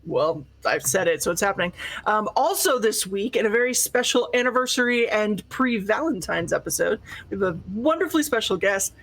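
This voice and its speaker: A woman's voice: mid-range voice, animated, brisk, fairly bright tone. A woman co-hosting a tabletop gaming stream, chatting casually in a goofy, giggly, sweary way. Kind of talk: casual group chat.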